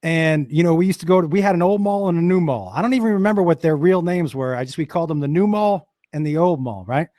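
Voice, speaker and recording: Hoarse man host, lively and higher-pitched. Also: quick pace, hardly any pauses. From Western Massachusetts. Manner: stammering restarts, laughs easily. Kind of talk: casual chat. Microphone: video call.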